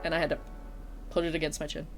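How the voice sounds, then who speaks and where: Low-voiced sniffly woman streamer. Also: flat delivery, fast, soft background music, low-quality recording. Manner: chatty, swears, self-deprecating. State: sniffly, stuffy nose. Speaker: streamer and teacher. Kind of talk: casual chat while streaming.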